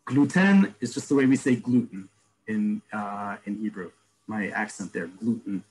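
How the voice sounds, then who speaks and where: A man teaching an online class, explaining terms and repeating each word slowly. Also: fairly low pitch, darkish tone, husky, poor audio. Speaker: Hebrew teacher. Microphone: video call.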